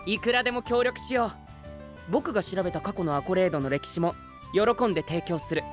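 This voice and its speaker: Casting: earnest gentleman knight played by a man, formal diction with English flourishes, faint music, audio drama. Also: high-pitched, fairly quick, natural voice. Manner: formal wording sprinkled with English exclamations. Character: earnest, gentlemanly, principled young male knight. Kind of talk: Japanese anime-style audio drama acting.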